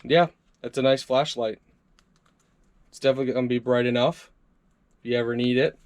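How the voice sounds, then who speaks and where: A man's voice: medium pitch, fairly even intonation, brisk, darkish tone. A man doing a casual, upbeat hands-on product review, chatting to his viewers as he tries things out.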